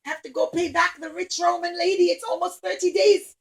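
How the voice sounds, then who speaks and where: A woman's voice: extremely high pitch, steady tone, a little fast, pitched above the performer's own voice. A woman voicing a humble old male rabbi who speaks earnestly and pleadingly.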